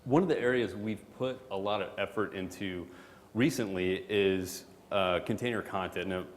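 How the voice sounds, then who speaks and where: A man giving a relaxed, joking conference talk as a product manager, full of fillers. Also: low-pitched, normal speed. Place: conference hall. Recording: hall reverb, faint background noise.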